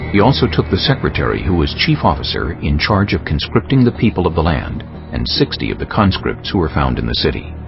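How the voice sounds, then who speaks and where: A man narrating scripture for a dramatized audiobook in a solemn, deliberate way with dramatic phrasing. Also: deep voice, vocal fry. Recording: dull lo-fi audio, music bed. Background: prominent background music.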